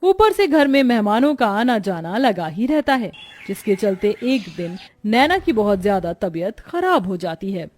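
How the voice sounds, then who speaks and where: A woman's voice: mid-range voice, sing-song, normal speed, hardly any pauses. A woman narrating a scripted family story as a storyteller.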